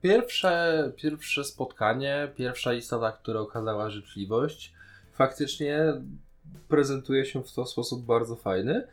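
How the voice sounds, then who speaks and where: Man, mid-pitched, explaining his views. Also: measured pace. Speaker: podcast co-host. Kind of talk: casual review chat.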